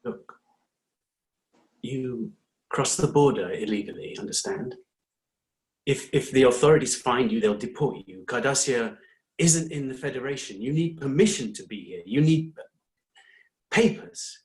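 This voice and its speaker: A middle-aged man playing a weary, exasperated doctor in a dramatic reading, with halting, stammering delivery. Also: medium pitch, lively intonation, darkish tone, close to an everyday voice, rough recording. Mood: firm, exasperated. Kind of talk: dramatic fan fiction reading.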